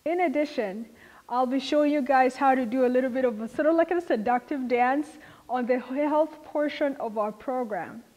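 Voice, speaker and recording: A woman hosting a show, giving a measured, welcoming scripted introduction to the program. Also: high voice, a little mellow, long reverb tail, poor audio. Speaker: host.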